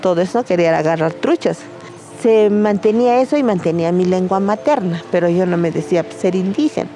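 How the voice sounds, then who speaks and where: A woman's voice: fairly low pitch, a little fast, mellow, faint music behind. A woman tells her story with affectionate diminutives. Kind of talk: documentary interview.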